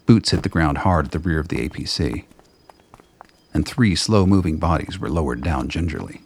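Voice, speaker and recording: A man with a gravelly, husky voice reading scripted narration for a radio play. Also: a bass voice, lively intonation, moderate pace.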